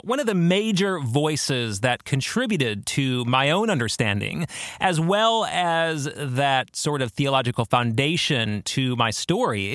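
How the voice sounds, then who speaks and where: A man hosting a podcast, explaining theology in a conversational, earnest way with expressive emphasis. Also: medium pitch, dry recording, studio quality. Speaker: podcast host.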